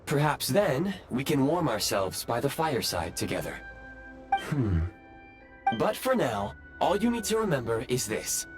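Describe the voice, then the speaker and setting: A calm, courteous game robot who reports in precise, formal phrases. The mid-pitched voice moves widely up and down, with loud music behind it. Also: male voice, a little slow. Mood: calm, reassuring. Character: polite robot companion with calm analytical temper. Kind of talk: scripted video game voice acting.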